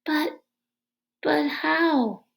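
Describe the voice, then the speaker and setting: A woman's voice: high voice, extremely slow, creaky, pitched above the performer's own voice, exaggerated voice. A woman voicing an anxious, earnest young boy with urgent questions in a children's storybook reading. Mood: anxious, questioning. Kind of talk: children's novel read-aloud.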